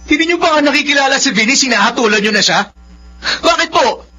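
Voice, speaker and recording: A man's voice: very high voice, lively intonation, fast talker, pushed and bright, a little hiss or hum, full character voice. A man playing an earnest, lovestruck young son with a Filipino accent in an impassioned radio drama style. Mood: indignant, impassioned. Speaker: drama voice actor. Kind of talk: melodramatic radio drama acting. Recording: dull lo-fi audio with music.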